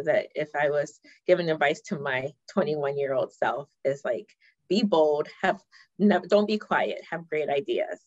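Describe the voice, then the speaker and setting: Podcast guest, woman in her 30s, low voice, chatty with fillers, dry and a bit dull sound. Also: no room sound. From Ghana. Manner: chatty, frequent fillers and restarts. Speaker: marketing director, podcast guest. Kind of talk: casual interview chat.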